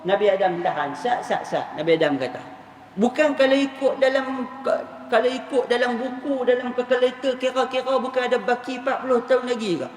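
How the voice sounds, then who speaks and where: A man's voice: very high-pitched, fairly quick. A man with a northern Malaysian Malay accent preaching as he retells religious stories in a colloquial, repetitive storytelling manner. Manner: colloquial storytelling, acting out dialogue, repeating phrases for emphasis. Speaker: Islamic preacher. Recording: echoey hall, distant mic, faint noise.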